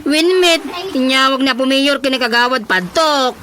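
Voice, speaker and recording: A woman's voice: sits high, normal speed, sharp tone. A woman playing an eager, pushy village woman with an Ilocano accent in a radio comedy drama, speaking insistently. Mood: explaining.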